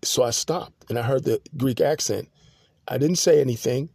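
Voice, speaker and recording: Halting, rambling man, mellow voice. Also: medium pitch, vocal fry, slightly rough, no echo, clean studio sound. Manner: halting, many restarts and fillers. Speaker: podcast host. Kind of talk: rambling personal monologue.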